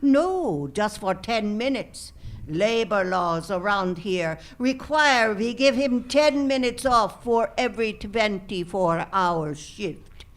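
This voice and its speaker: An actress playing a gracious but odd hotel manager in a comic radio play, formal and theatrically polite. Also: female voice, mid-range voice, expressive, slow pace. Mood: breezy. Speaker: actor in live radio drama.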